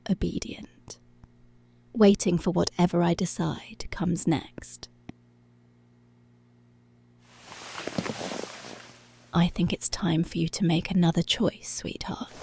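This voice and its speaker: Seductive, controlling policewoman played by a woman for ASMR roleplay: mellow, creaky, half-whispered, teasing, close-miked. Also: mid-range voice, no room sound, exaggerated voice. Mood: commanding, teasing. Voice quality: breathy, often slipping into whisper. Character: dominant, commanding, seductive policewoman. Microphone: close microphone.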